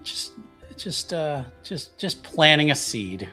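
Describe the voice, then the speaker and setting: A man's voice: on the high side, sing-song, slow pace, bright, forceful tone, faint music behind. Casual man hosting a gaming stream. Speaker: player and host of a roleplaying stream. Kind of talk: casual livestream game chat.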